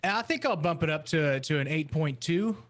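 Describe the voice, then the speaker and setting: Guy chatting on a podcast panel, voice a little on the high side, casual. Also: animated, moderate pace, a bit bright. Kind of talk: casual discussion.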